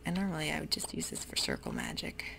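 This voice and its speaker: A woman giving soft-spoken, whispery commentary while she plays a computer game, with frequent ums. Keyboard typing can be heard close to the mic. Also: deep voice, wildly swooping pitch, fast, creaky. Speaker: ASMR gaming creator. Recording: noisy home recording.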